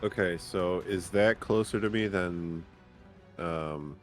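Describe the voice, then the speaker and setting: A man's voice: sits low, slow, soft background music. A man chatting casually as a player in a tabletop roleplaying game. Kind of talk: casual gaming chat, sweary.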